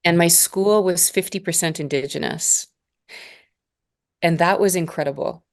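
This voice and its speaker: A woman in her forties from Northern Alberta, a spiritual mentor speaking as a guest in a slow, measured, reflective way. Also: fairly low pitch. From Northern Alberta, Canada. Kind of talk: reflective interview conversation.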